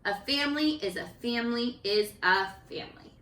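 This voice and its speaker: A woman teacher leading an interactive read-aloud lesson for young children, animated and encouraging, often pausing to ask questions. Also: fairly high pitch. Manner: animated, encouraging, asks questions and pauses for answers. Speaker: primary school teacher. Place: living room at home. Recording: roomy home echo.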